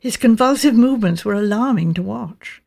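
A woman with a Received Pronunciation British accent, reading a mystery novel as scripted narration in a measured, precise way. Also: medium pitch, expressive, gravelly, dark tone. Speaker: audiobook narrator.

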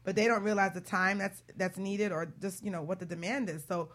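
Female talk show host, mid-pitched, casual and inquisitive with guests. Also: fairly even intonation, fairly quick, fairly bright tone. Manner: inquisitive, asks simple clarifying questions. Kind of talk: casual conversational interviewing.

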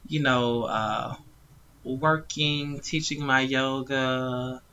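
An upbeat, chatty man co-hosting a podcast, his voice bright and punchy. The audio is slightly dull and muffled. He talks at a middle pitch. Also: fairly even intonation, drawn-out words, smooth. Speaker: podcast co-host, yoga teacher. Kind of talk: casual podcast chat.

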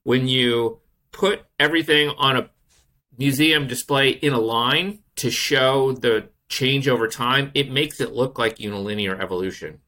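A man's voice: mid-range voice, unhurried, sharp tone. A man co-hosting a science podcast, introducing the guest and explaining things with enthusiasm. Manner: enthusiastic, explanatory, building up to a reveal. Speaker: podcast co-host.

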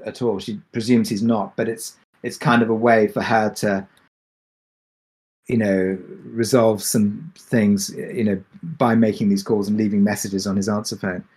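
A man's voice: on the low side, darkish tone, breathy edge. A man speaking as a creator in a casual panel discussion, explaining things in a hesitant, rambling way. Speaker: audio drama creator on a Q&A panel.

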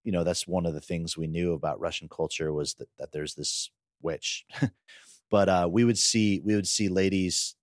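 Deep-voiced man chatting casually, very dry sound. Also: steady tone, normal speed, no room sound. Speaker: podcast co-host. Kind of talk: casual chat, personal recollection.

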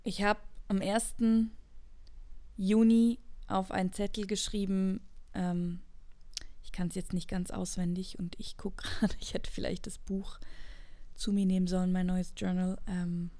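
A woman in her late twenties talks through her thoughts as if in a personal audio diary. Her pitch is average and her pace is unhurried. She sounds earnest and introspective, repeating words to stress them as she goes. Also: level tone, warm tone. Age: late 20s, turning 30. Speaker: podcast host. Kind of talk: reflective personal monologue, unscripted.

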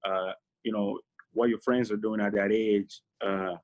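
A low-pitched man in his thirties talks through his path in an easy, reflective way, leaning on "you know" and "uh" as he goes. The sound is slightly dull and lo-fi. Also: a little slow. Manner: relaxed, reflective, many fillers. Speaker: guest. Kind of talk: casual interview.

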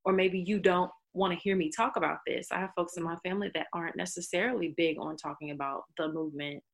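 A woman in casual conversation, animated and playful, talking in quick bursts. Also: on the low side, vocal fry.

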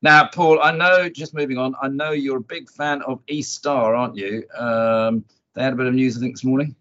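A man's voice: mid-range voice, fairly even intonation, moderate pace, sharp tone, lo-fi sound. Man hosting a casual market chat. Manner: conversational, steering with tag questions. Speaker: host.

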